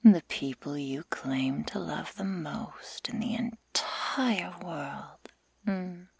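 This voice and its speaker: Woman acting a slow, whispering sadistic werewolf girl. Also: low-pitched, darkish tone, slight background noise, exaggerated voice. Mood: sadistic, gloating. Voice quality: breathy, mostly whispered. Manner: slow, deliberate, teasing, mock-sweet menace. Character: sadistic werewolf girl, menacing, taunting, possessive predator. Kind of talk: ASMR roleplay, whispered.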